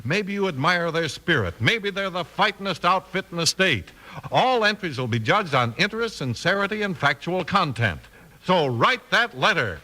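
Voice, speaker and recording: A man announces in 1950s American radio style, emphatic and polished. His fairly high voice is bright and moves with very lively intonation as he reads scripted copy. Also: normal speed, low-quality recording.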